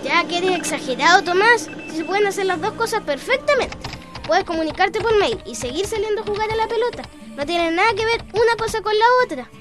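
A cheeky little schoolkid speaks very high with a Chilean accent. The child is argumentative and colloquial, in an educational radio play with light music behind. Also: female voice, lively intonation, fairly quick. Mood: contradicting. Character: cheeky, sensible young schoolchild. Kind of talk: scripted educational radio drama.

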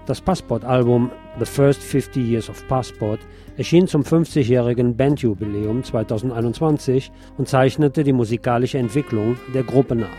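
A man narrating a scripted obituary tribute as a radio host, in a measured, formal reading manner. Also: medium pitch, mellow, soft background music.

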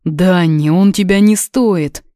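A woman's voice: mid-range voice, takes it slowly, dry recording, studio quality, pitched above the performer's own voice, close to an everyday voice. A woman voicing a blunt, friendly young man in an audiobook, hungover and hankering for beer. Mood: indignant. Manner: blunt, drawling.

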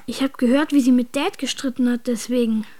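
A woman's voice: fairly high pitch, steady tone, a little fast, a little mellow, natural voice. A female performer playing an aggrieved, secretive child in a radio drama.

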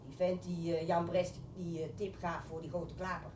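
A woman playing a small, bossy, fiercely protective crook's girlfriend in a radio drama, blunt and full of rough street talk. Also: on the low side, little pitch movement, normal speed, warm tone, recorded from a distance, close to an everyday voice. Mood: insistent. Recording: echoey room off mic, faint noise.

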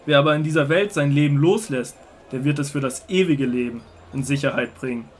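A man playing a solemn, earnest male prophet and teacher in an audio drama of the Gospel, speaking in measured declarations. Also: mid-range voice, slightly rough, close to an everyday voice. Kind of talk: audio drama Bible dialogue.

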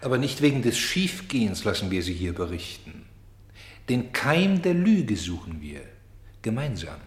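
An actor playing a quietly persistent interrogator in a radio play, terse and deliberate in his speech. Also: lowish voice, very animated, echoey room. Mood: probing. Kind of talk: postwar radio play acting.

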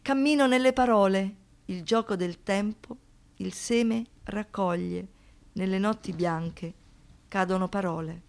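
An actress playing an anguished survivor of violence in a poetic radio monologue, speaking in halting phrases that shift between hush and outburst. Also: female voice, mid-range voice, gravelly. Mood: dreamy, sombre. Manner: halting short phrases, dropping to whispers, rising to outbursts.

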